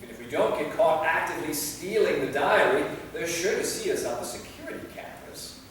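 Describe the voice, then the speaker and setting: A man's voice: a little high, lively intonation, a little fast, a bit bright. A man playing an exasperated, cautious vampire in a staged play reading, speaking in a curt, weary, sceptical manner. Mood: doubtful. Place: theater hall, recorded from a distance. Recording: very echoey hall, far mic, faint noise.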